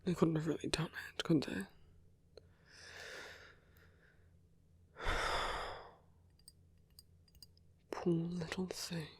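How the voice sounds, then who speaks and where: A man voicing a charming, flirtatious villain with a tender side in an intimate ASMR roleplay, soft and teasing, often dropping to a whisper. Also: a little high, takes it slowly, creaky, breathy edge, no room sound, full character voice. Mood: worried, hushed.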